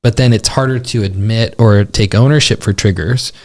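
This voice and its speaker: A young adult man co-hosting a relationship podcast, talking thoughtfully with halting restarts. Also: on the low side, a little mellow. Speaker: podcast co-host. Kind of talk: casual podcast chat about relationships.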